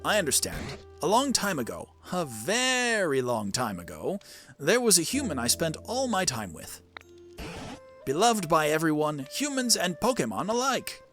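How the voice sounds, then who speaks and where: An old professor creature from a video game, voiced by a streamer, wise and kindly. The speech is formal and measured, full of nostalgia, with an expressive, varied melody. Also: mid-range voice, faint music behind. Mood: wise, solemn.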